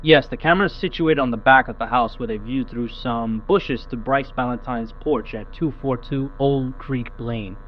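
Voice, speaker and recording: A man's voice: medium pitch, moderate pace, few pauses, faint music behind. A man playing a procedural patrol officer reporting a scene to dispatch over police radio, clipped and matter-of-fact. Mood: official, matter-of-fact. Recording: muffled police radio, humming static noise.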